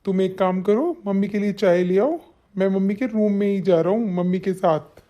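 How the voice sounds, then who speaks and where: A man's voice: high voice, normal speed, mellow, highs cut off, lightly acted. A man voicing a caring adult son and husband in a family cartoon, speaking in an earnest, instructing way. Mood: affectionate. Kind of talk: Hindi family cartoon story dialogue.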